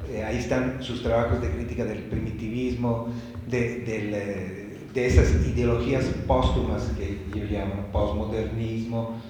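A man's voice: medium pitch, darkish tone. A man from Italy with an Italian accent giving a public talk in Spanish, often restarting and repeating words. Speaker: co-speaker at a talk. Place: library event room. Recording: very echoey room, faint background noise.

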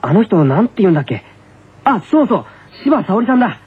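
A resentful younger brother in a radio comedy, grumbles with wounded pride. His voice is very hoarse-edged and swings widely in pitch. The recording is thin and phone-like. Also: sing-song, quick pace, full character voice. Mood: brooding. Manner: indignant, grumbling, curtly polite to seniors. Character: touchy, resentful teenage younger brother, male tennis player. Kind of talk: comic radio drama with monologue. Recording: tinny band-limited radio recording.

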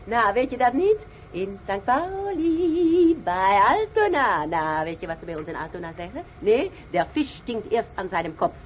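A cheeky young woman speaks up insistently and mockingly. Her voice is fairly high and swings up and down, in a radio play with faint noise. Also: big pitch swings, a little slow. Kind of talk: radio drama dialogue.

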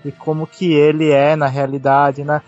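Brazilian guy on a podcast panel, bit high voice, casual slangy talk, muffled audio w music behind. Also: level tone, moderate pace, darkish tone. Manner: informal, colloquial. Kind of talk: casual chat.

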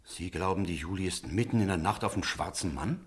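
An actor playing a stern, sceptical village priest in a radio drama, speaking in measured, literary phrases. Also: male voice, sits low, lightly acted. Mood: disbelieving. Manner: measured, rhetorical, literary diction. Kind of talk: German radio drama acting with narration.

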